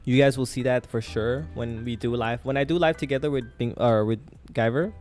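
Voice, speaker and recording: A man's voice: mid-range voice, steady tone, a little fast, darkish tone, faint music behind. A young man, raised in Thailand, hosting a casual live trading stream and talking to his chat with lots of fillers. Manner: chatty, talks to chat with many fillers.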